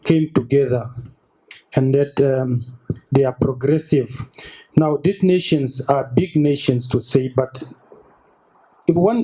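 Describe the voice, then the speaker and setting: A young man in the audience making an earnest comment, pausing often to find his words. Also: medium pitch, mellow, poor audio. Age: young adult. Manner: earnest, halting with fillers. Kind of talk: public comment.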